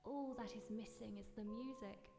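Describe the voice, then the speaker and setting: High-voiced headstrong young princess played by an actress. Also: female voice, moderate pace, close to an everyday voice. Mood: awed, dreamy. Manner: theatrical, expressive delivery. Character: headstrong sixteen-year-old princess, dreamy and defiant. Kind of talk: radio drama acting, fairy tale. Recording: echoey hall, music behind.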